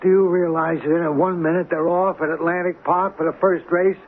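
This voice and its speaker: A man's voice: sits high, little pitch movement, a little fast, lightly acted. An actor playing a flustered small-time hustler, a slangy, griping wise guy in an old-time radio drama. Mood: panicked, protesting. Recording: noisy, tinny old radio broadcast recording.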